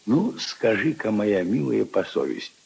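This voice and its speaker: Kindly old grandfather, low gravelly voice, lively intonation, wistful opinionated storyteller, 1950s radio drama recording. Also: unhurried, slight background noise, full character voice. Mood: wistful, earnest. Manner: ruminative, emphatic, intimate storytelling to his granddaughter.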